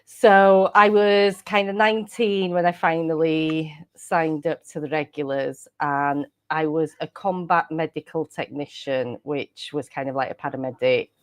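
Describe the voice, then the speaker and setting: Welsh woman, native Welsh speaker, low voice, dry close sound, giggly casual guest. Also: steady tone, unhurried, hardly any pauses, dry recording. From Wales. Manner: giggly, rambling with frequent laughs and restarts. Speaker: podcast guest. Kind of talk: casual interview chat.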